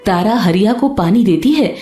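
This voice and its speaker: A woman's voice: mid-range voice, expressive, normal speed, echoey room. A woman narrator reading a scripted story. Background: soft background music.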